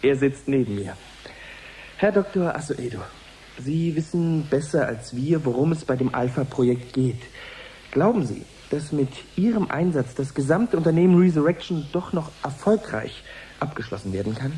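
An actor playing an earnest live reporter in a radio drama, speaking in a measured, formal, suspenseful way. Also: male voice, fairly high pitch, animated, mellow, a little hiss or hum, lightly acted. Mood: earnest, curious. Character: earnest male live reporter following an experiment.

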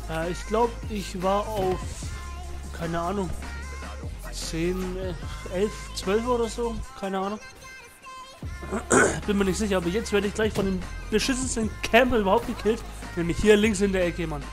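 A young man with a stuffy, congested nose from a cold talks loosely over game footage, dropping fillers and slang as he hosts his channel. Loud music runs under his voice the whole time. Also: high voice, moderate pace. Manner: laid-back slangy chat with many fillers. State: has a cold, blocked nose. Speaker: gaming channel host. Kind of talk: casual gameplay commentary.

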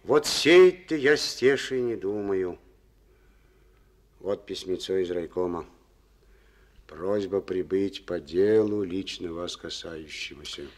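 A man's voice: low-pitched, slow, long pauses. An actor playing a stubborn aging farm chairman in a Soviet radio play, coaxing and folksy as he woos a woman. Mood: wry. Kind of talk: 1950s radio play acting.

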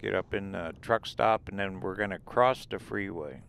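Low gravelly guy chatting along while he drives, laid back, pointing stuff out from inside the car. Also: moderate pace, slight background noise. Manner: relaxed, rambling, points out sights as he goes. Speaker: RV travel vlogger. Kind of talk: casual narrated drive and drone tour. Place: inside a vehicle driving desert roads.

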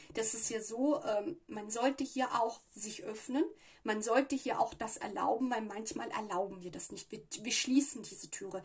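Breathy woman reading tarot. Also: fairly high pitch. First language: Italian. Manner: chatty, searches for words, frequent "ja" fillers. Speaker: tarot reader. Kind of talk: casual tarot reading to camera. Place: small room at a table with cards and candle.

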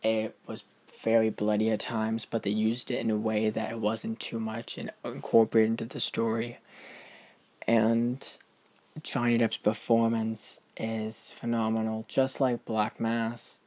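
A man's voice: fairly low pitch, monotone, unhurried, darkish tone. A man giving a casual film review in a vlog, emphatic and gushing, often restarting his sentences.

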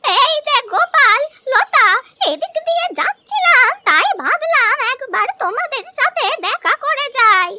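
Sly old beggar granny, shrill high pitch, swooping intonation, drawn-out pleading, children's cartoon. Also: female voice, very animated, a little hiss or hum, heavily acted. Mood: coaxing. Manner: slow, drawn-out, wheedling. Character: wheedling, scheming old village beggar woman. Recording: loud music behind the voice.

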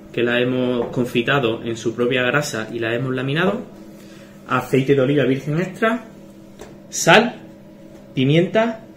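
A man, explaining a recipe step by step as a chef in a small tiled restaurant kitchen, often checking in with his viewers. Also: medium pitch. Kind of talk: cooking demonstration. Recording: echoey kitchen with frying noise. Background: sizzling pan and kitchen ambience.

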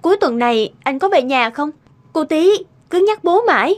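A woman playing a devoted, gentle young country wife in a cartoon, speaking in a soft, caring way. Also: high voice, expressive, normal speed. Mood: tender concern. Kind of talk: animated moral tale dubbing.

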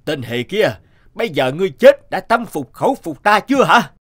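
A man voicing a tyrannical middle-aged king, imperious and scolding, in an animated fairy tale. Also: sits high, normal speed, a little hiss or hum. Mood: scornful.

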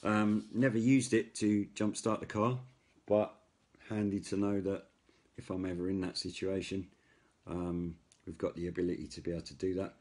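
Low-pitched British man, casual reviewer. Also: moderate pace. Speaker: product reviewer, radio hobbyist. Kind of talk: casual hands-on product review. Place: indoors at a wooden table by a window. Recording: faint steady noise, handling clicks.

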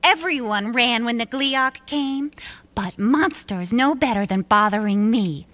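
A proud, fearless villager in a cartoon game. The voice is fairly high and very slow, with theatrical, deliberate phrasing. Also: female voice, expressive, a bit bright. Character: fearless villager proudly handing out magic gear.

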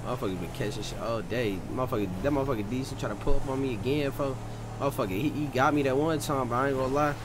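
A man's voice: mid-range voice, racing. A man streaming a crime roleplay game, bantering in profane, heavy street slang. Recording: noisy game audio with car sounds. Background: game ambience and vehicle noise.

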